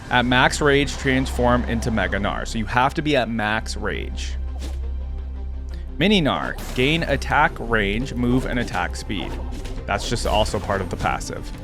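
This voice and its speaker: A man giving casual reaction commentary on a video game, conversational and thinking aloud as he reads and adds asides. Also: medium pitch. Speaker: YouTube reaction host.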